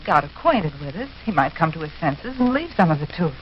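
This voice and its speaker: A woman's voice: sits low, very animated, fairly quick. An actress playing an earnest, anxious young married woman in 1940s radio drama style. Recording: hissy, muffled 1940s radio recording.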